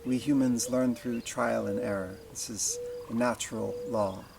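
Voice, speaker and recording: A man leading a guided meditation as a teacher, speaking in slow, deliberate phrases with long pauses. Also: medium pitch, fairly even intonation, gravelly, noisy background.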